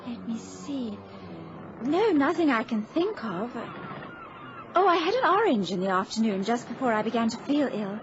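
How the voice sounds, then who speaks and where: A woman's voice: a little high, normal speed. An actress playing an earnest, refined young lady passenger in an old-time radio drama, genteel and emotional. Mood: thoughtful. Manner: genteel, expressive, pleading. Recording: noisy, muffled vintage radio recording.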